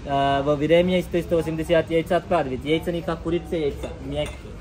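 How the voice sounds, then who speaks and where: A man's voice: fairly even intonation, fast talker, over music, low-quality recording. A man explaining things as a tour guide in broken, with short simple phrases. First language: not Russian. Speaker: turtle farm guide. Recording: loud background noise, outdoor rumble.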